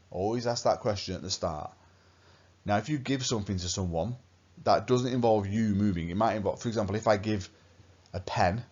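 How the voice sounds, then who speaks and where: A man's voice: low voice, a little mellow, lo-fi sound. A man from England, a French teacher, talking students through a lesson over slides, patient and step by step, asking the same guiding questions again and again.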